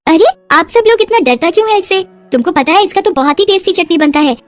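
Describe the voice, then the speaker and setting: A woman acts out a cheerful young bride in a moral-story cartoon. Her voice is very high, and she mangles her grammar in a slow sing-song over faint music. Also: animated, no room sound. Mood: cheerfully insistent. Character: foreign young bride, cheerful, clueless, speaking broken Hindi.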